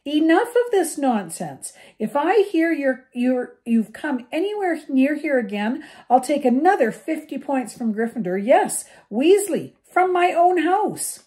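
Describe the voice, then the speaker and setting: Stern storybook professor, older woman, read by a woman, clipped and lively in pitch, mellow tone. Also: normal speed, hardly any pauses. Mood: angry.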